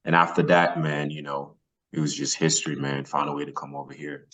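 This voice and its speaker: Low-voiced Nigerian-born man telling personal stories. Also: little pitch movement, a little fast, a bit bright. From northern Nigeria. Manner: colloquial, peppered with "man" and "you know". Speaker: podcast guest, former athlete. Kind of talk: casual personal storytelling.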